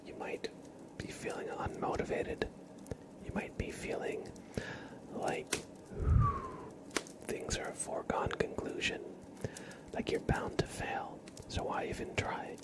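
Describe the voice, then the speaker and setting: A man speaking in a breathy whisper, gently and reassuringly, in halting phrases as an ASMR streamer giving positive affirmations.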